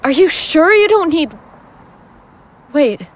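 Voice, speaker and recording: A woman's voice: high-pitched, sing-song, slow, heavily acted. A woman acting in an audio drama as a friend who is secretly an otherworldly being. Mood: worried, relieved.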